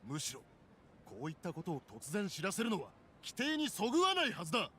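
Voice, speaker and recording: A man's voice: high voice, wildly swooping pitch, rapid-fire, bright, forceful tone, breathy edge, dry recording, full character voice. A man acting a firm, earnest young man in a Japanese anime game. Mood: firm, objecting.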